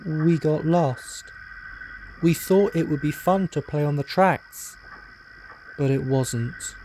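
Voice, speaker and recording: A man's voice: fairly high pitch, slow, warm tone, slight background noise, pitched above the performer's own voice, rough recording. A man voicing contrite, eager little boys in a storybook dub, with a pleading, childlike manner. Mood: ashamed.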